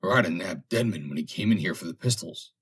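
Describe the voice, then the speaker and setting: Guy doing a hoarse shrewd old-salt type sailor, scornful, disapproving, audiobook style. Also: deep voice, animated, quick pace, full character voice. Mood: confiding. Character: recovering sailor, scornful and shrewd, just out of bed. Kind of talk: audiobook character acting.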